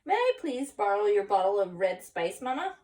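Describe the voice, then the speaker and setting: A woman voicing an excitable, boastful little boy kitten who believes he is a chihuahua, in a storybook reading. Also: medium pitch, normal speed, close to an everyday voice. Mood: polite. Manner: exclamatory, playful, dramatic.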